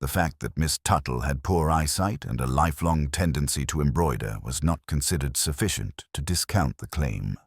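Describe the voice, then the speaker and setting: A man narrating a radio mystery in a measured, dryly ironic storytelling manner. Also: rumbling low, expressive, breathy edge, no room sound, studio quality.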